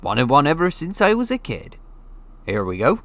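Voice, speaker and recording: A man reading an audiobook, voicing a gruff, hearty, friendly giant with rough, folksy, blustery speech. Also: on the high side, huge pitch swings, normal speed, fairly bright tone, pitched above the performer's own voice. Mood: hearty, wistful. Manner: folksy, blustery, dropped grammar. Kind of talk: audiobook character voice.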